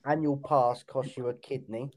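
A British man in his thirties, co-hosting a casual podcast chat, grumbling and sarcastic with quick asides. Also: medium pitch, steady tone. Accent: British English. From United Kingdom. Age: 30s. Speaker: podcast co-host.

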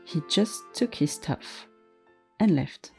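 A woman narrating a story in a gentle, measured, scripted way. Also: lowish voice, expressive, gravelly. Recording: faint music underneath.

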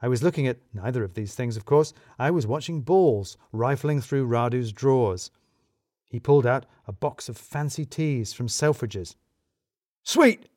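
A man's voice: mid-range voice, moderate pace, dark tone, no room sound. A man giving dry, wry, scripted first-person narration of a comic mystery. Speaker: audio drama narrator.

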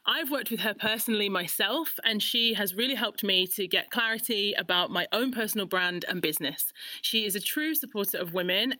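A woman's voice: medium pitch, sharp tone, no room sound. A woman hosting a podcast interview, introducing her guest and asking questions in an upbeat, emphatic way. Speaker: podcast host. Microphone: remote video call.

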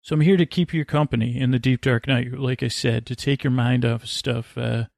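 A man with a creaky voice hosting a sleep podcast, rambling gently and lullingly, often breaking off and drifting into tangents. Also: medium pitch, fairly even intonation, no echo, clean studio sound. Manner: meandering, digressive, halting with self-interruptions. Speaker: podcast host. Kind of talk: rambling, soothing bedtime monologue.

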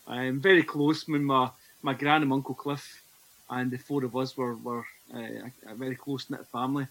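A man's voice: medium pitch, flat delivery, moderate pace, fairly bright tone, slight background noise, low-quality recording. A Scottish man in his forties, a podcast guest telling his personal faith story in an earnest, reflective way. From Scotland. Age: 40s. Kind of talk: personal testimony in conversation.

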